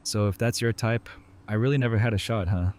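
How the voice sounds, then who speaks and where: A man playing an easygoing, low-voiced teasing doctor. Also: steady tone, a little fast, a little mellow, natural voice. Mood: incredulous, joking. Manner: casual, playful banter. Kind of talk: fan audio drama dialogue.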